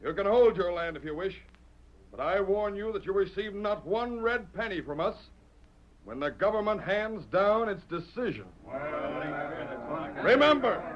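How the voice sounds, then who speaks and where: An actor playing a slick, threatening crooked land agent in an old radio western, oratorical and domineering. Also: male voice, sits high. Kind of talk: 1940s radio western acting. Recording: muffled old radio recording.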